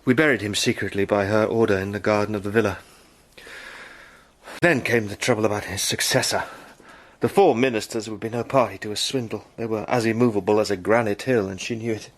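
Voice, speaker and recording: A man's voice: on the low side, fairly even intonation, a little fast, close to an everyday voice. A man playing a haunted British adventurer caught up in a plot, speaking intensely and stammering under strain in a radio drama. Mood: grim. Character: Sandy, haunted British adventurer in disguise, anguished.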